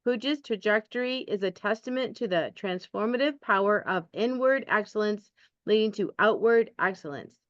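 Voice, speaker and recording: A woman hosting a podcast, briskly reading a guest's bio and inviting her story over a video call. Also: mid-range voice, dry recording. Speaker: podcast host. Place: room indoors. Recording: dull lo-fi video call audio.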